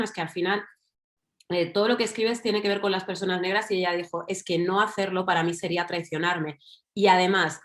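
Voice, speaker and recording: A woman from Spain with a Madrid accent, speaking as a panelist in an online talk, thinking aloud with fillers and asides. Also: medium pitch. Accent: Madrid Spanish. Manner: reflective, thinking aloud with fillers and tag questions. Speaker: panelist writer.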